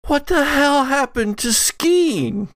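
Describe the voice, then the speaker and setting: A man's voice: very high voice, lively intonation, slow, fairly bright tone, no echo, clean studio sound, voice pushed up, full character voice. A man voicing an upset, hot-tempered woman who demands answers. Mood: angry outburst.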